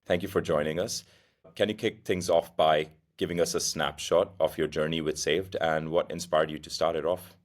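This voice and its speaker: A young man hosting a podcast, asking measured, probing questions of his guest. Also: very deep voice, fairly even intonation. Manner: measured, question-led interviewer. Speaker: podcast host. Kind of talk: interview hosting.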